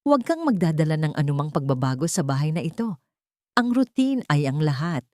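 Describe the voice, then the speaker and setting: A woman narrator plays a cold, wealthy male sculptor, handing down stern orders in measured phrases. It is audiobook dialogue in a lowish register, recorded dry. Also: big pitch swings, darkish tone, no room sound.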